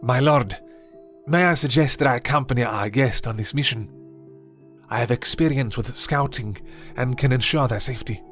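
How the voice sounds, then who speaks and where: A man's voice: medium pitch, normal speed, a bit bright, soft background music, natural voice. A man voicing a loyal thrall captain who reports in a clipped, dutiful way. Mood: urgent.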